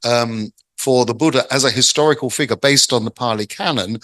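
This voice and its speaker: A man, the invited speaker and author, giving a measured, thoughtful talk with many ums. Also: mid-range voice, fairly bright tone, no echo, rough recording. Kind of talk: talk on Buddhist scripture.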